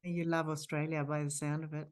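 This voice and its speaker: A woman's voice: low-pitched, level tone, fast talker. A woman hosting a podcast, introducing and interviewing her guest in a casual, effusive way with many fillers and restarts. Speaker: podcast host.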